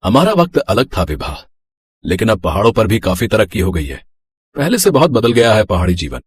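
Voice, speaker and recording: A man acts a practical middle-aged husband and father in a moral story cartoon. He is measured and reassuring, with a husky voice in a dry recording. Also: lowish voice, very animated, no echo. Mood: reassuring, explaining. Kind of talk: animated Hindi moral story dialogue.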